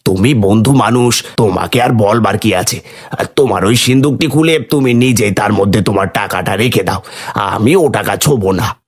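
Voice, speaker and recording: A man's voice: lowish voice, normal speed, husky. A man voicing a greedy, two-faced merchant in a cartoon folk tale, feigning honesty and protesting with rhetorical questions. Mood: smooth assurance. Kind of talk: cartoon storybook character voice. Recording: long hall-like reverb.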